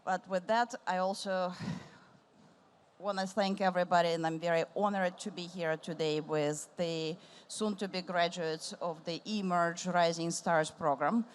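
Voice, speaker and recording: A middle-aged woman with a somewhat low voice hosts a panel on a conference stage. She talks warmly and loosely, circling back on her phrasing. Faint room noise sits behind her. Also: steady tone, a little slow. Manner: warm, rambling, with restarts. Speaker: panel moderator. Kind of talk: live panel discussion.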